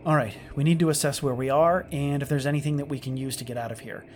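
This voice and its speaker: A man's voice: a little high, steady tone, fast talker, a little mellow, close to an everyday voice. A man playing a sardonic, irritable starship lieutenant stranded after a disaster, muttering in exasperation in a science fiction audio drama. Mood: tense, cautious. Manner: exasperated, muttering, hesitant asides. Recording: clearly noisy track with clicks.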